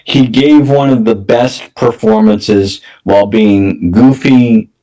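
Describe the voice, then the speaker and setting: A man's voice: lowish voice, steady tone, slow, dark tone, low-quality recording. A man co-hosting a casual movie discussion, talking emphatically and repeating phrases for effect. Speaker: film podcast co-host.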